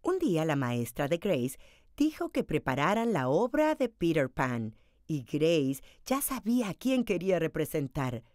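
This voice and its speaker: A woman storyteller reading a children's picture book aloud in an expressive, dramatized way. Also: low voice, a little slow, dry recording, studio quality.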